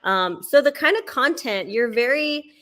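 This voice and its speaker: A woman's voice: a little high, lively intonation, unhurried, a bit bright. A woman hosting a live interview, encouraging her guest and agreeing often. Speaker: host.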